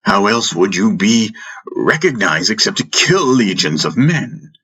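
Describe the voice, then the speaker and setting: A man's voice: lowish voice, big pitch swings, measured pace, a bit bright, slightly rough, heavily acted. A man voicing a wise, teasing and stern spiritual master in a fantasy audiobook, chiding in a theatrical way. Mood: stern, probing.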